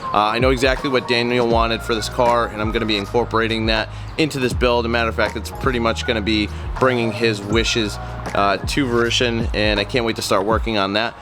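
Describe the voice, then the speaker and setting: A man's voice: mid-range voice, little pitch movement, brisk. A young man with a nasal voice from a cold, talking in an easygoing, earnest way through a casual car walkaround vlog with many ums and uhs. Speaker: car vlogger. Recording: music playing underneath.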